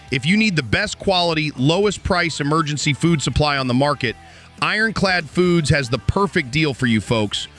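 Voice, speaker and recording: A man's voice: a little high, a bit bright. A man playing a brash, showy conspiracy radio host in an audio drama, with an emphatic, folksy announcer delivery. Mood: sales patter. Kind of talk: bombastic talk radio hosting in an audio drama.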